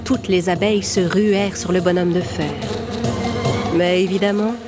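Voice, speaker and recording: A woman's voice: on the low side, steady tone, moderate pace, loud music behind the voice. A woman reading scripted narration for a children's fairy tale as the storyteller.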